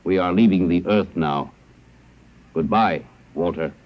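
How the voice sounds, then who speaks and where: A man's voice: low-pitched, measured pace, noisy background. A man playing a formal, emotionless alien emissary in a 1950s radio drama. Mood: cold, formal. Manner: formal, clipped, emotionless pronouncements. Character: George, cold logical alien emissary of the Zan. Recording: dull vintage radio recording.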